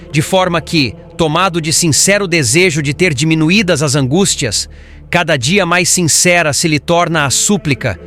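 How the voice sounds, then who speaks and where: A man voicing a patient, solemn, learned spirit instructor in formal, measured audiobook narration. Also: fairly high pitch, a bit bright, natural voice.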